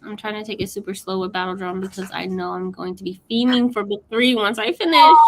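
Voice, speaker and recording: A woman in her late thirties co-hosting a casual book chat, chatty and quick to laugh, reading out viewer comments. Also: medium pitch. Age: late 30s. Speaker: co-host of a book livestream.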